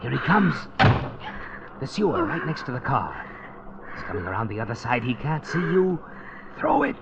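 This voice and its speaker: A man's voice: medium pitch, sing-song, moderate pace, creaky, background noise. An actor playing an ominous, all-knowing narrator who needles a guilty woman in a 1940s radio mystery, menacing and insinuating. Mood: urgent. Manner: menacing, insinuating, clipped urging. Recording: dull old radio recording with music behind.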